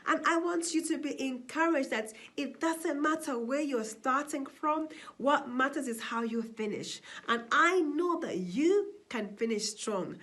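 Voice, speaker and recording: A woman's voice: high voice, a bit bright. A woman from Zambia giving a motivational address, emphatic and asking rhetorical questions she answers herself. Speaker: summit speaker.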